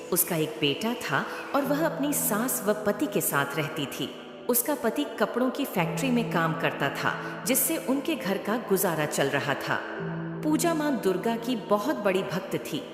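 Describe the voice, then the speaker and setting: A woman's voice: mid-range voice, big pitch swings, a little slow. A woman narrating a devotional moral story from a script. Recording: echoey, long reverb, music bed. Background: clear music.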